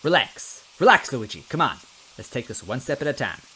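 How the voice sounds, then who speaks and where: A man's voice: medium pitch, very animated, a little fast, bright, forceful tone, husky, heavily acted, low-quality recording. A man voicing a timid, anxious detective from a story, hesitant and self-doubting yet earnest. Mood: self-encouraging. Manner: hesitant, stammering.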